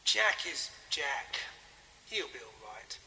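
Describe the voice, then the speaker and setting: Creaky, hesitant, mild village vicar male actor. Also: a little high, very animated, takes it slowly, a little hiss or hum, heavily acted. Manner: hesitant, polite, gently doubtful.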